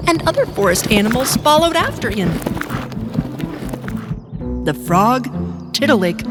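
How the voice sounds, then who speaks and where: High-voiced woman narrating slowly. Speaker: storyteller. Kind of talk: scripted children's story narration. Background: music.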